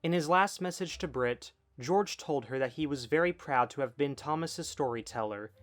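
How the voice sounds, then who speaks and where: A man's voice: medium pitch, normal speed, a bit bright. A man reading scripted documentary narration as a video essay narrator. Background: background music.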